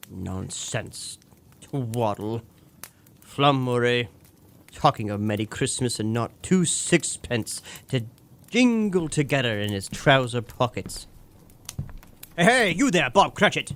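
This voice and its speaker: An actor playing a grumpy, miserly old moneylender in a radio drama, scornful, blustering and sarcastic. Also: male voice, medium pitch, wildly swooping pitch, slow pace, pauses often, fairly bright tone, slight background noise, full character voice.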